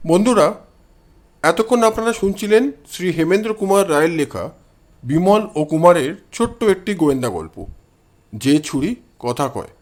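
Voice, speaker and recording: A man's voice: high-pitched, animated, normal speed. A man narrating a detective story in a dramatic, scripted storytelling style.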